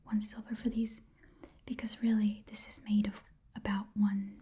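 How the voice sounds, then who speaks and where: A woman's voice: medium pitch, level tone, warm tone, a little hiss or hum, lightly acted. A woman voicing a chatty, eager goblin pawn-shop keeper in a whispered ASMR fantasy roleplay, rambling and repeating herself. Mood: haggling, hesitant. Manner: halting, rambling, repeats phrases.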